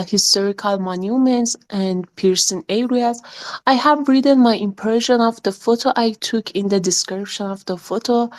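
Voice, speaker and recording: A woman in her twenties from Iran, speaking hesitant English with an Iranian accent as she presents her work as a guest, mostly from a prepared text. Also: mid-range voice. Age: 20s. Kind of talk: reading a prepared text aloud.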